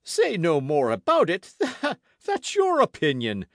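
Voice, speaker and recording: A man voicing a boastful, loud musketeer in an audiobook, theatrical and full of exclamations. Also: a little high, huge pitch swings, measured pace, no echo, clean studio sound, voice pushed up. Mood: indignant, scolding. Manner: theatrical, exclamatory, swooping emphasis. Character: boisterous, boastful swaggering musketeer, loud and self-satisfied.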